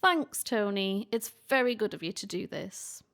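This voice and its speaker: A woman's voice: medium pitch, lively intonation, moderate pace, creaky, a bit bright. A woman playing an eager young ghost-hunter. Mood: curious. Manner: inquisitive, easily spooked. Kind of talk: community radio play acting.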